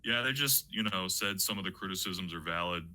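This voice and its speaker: A man's voice: sits low, lively intonation, a little fast, sharp tone, rough recording. A man being interviewed as a guest, telling anecdotes in a casual, sweary, rambling way. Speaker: interview guest, audio drama creator.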